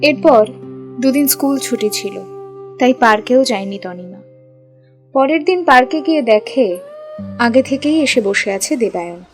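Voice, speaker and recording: A woman narrates a scripted love story. Her voice sits a little high, and instrumental music plays behind her. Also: lively intonation, moderate pace, pauses often.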